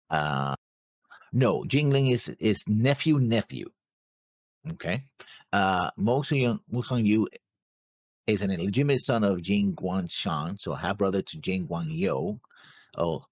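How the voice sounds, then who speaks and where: Casting: male co-host, deep and lively in pitch, halting as he reads and comments casually. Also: no echo. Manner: halting, stumbles over names. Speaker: reaction show co-host. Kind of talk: casual chat, reading out viewer comments.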